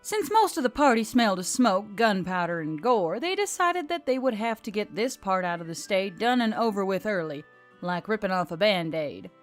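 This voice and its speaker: A woman's voice: medium pitch, sing-song, normal speed. A woman reading scripted fantasy fiction aloud as the narrator. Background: faint music.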